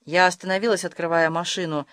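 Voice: medium pitch, fairly even intonation, fast talker, fairly bright tone, smooth, dry recording, clean studio sound. An audiobook narrator reading first-person literary prose from a script.

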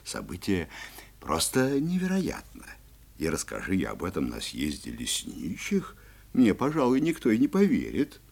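A man's voice: deep voice, wildly swooping pitch, normal speed, vocal fry, a little mellow, husky, heavily acted. An actor playing a kindly forester father in a children's audio play, marvelling and emphatic as he explains things to his boy. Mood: marvelling, amused. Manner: emphatic storytelling to a child, astonished. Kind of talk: 1970s Soviet audio play acting.

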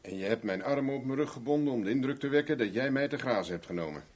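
A man's voice: medium pitch, lively intonation, fast, lightly acted. An actor playing a wry, unflappable captive investigator in a 1970s Dutch radio drama, dry and ironic as he probes and needles. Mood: probing, wry.

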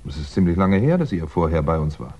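Low-voiced, husky crime novelist on the run. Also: male voice, big pitch swings, quick pace, dark tone, full character voice. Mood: probing, puzzled. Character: hunted male crime novelist, polite, brooding, wry. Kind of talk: 1960s German radio crime play acting. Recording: dull 1960s radio recording.

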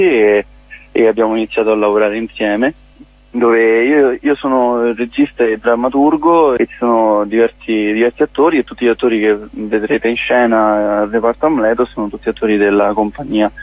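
Young man, twenties, mid pitch, phone-in guest, thoughtful and halting, dry sound. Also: no room sound, low-quality recording. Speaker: playwright and director guest. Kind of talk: phone interview. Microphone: telephone line.

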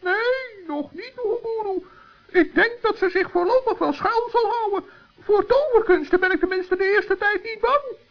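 A tiny, extremely high-pitched forest gnome, trusting and plucky. He talks in a chirpy, earnest, childlike way, as in a children's radio play. The old recording is dull and lo-fi. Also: moderate pace. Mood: calm, curious. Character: small kind-hearted trusting male forest gnome, cheerful and plucky.

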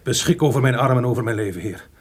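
An actor playing a sly, obsequious mercenary soldier in theatrical radio drama, with a husky voice and formal, deferential speech. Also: male voice, mid-range voice, fast talker, natural voice. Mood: dutiful.

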